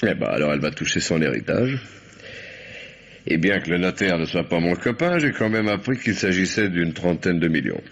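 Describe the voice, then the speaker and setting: An actor playing a cynical, bullying drug dealer who schemes in slangy, domineering talk, in a French radio crime drama. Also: male voice, low voice, lively intonation, normal speed, gravelly, fairly bright tone, husky, full character voice. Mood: cynical, scheming. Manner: slangy, domineering, falsely soothing. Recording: dull, lo-fi old radio recording.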